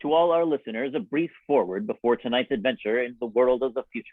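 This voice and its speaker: A man announcing and narrating from a script in old-time radio style, with a theatrical, deliberate delivery. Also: medium pitch. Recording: dull, band-limited radio broadcast sound.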